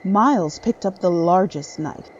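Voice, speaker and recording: A woman's voice: lowish voice, unhurried, dull audio, slight background noise. A woman reading scripted narration for a dramatic horror story.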